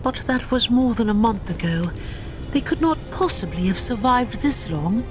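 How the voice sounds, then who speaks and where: Brief: actress as a noble elf heroine, fairly high voice, lively inflection, formal and unbending. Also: female voice, lightly acted. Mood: resolute. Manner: formal, determined, measured speech. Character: resolute elf warrior woman, noble and driven. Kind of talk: game cutscene voice acting. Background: orchestral game music.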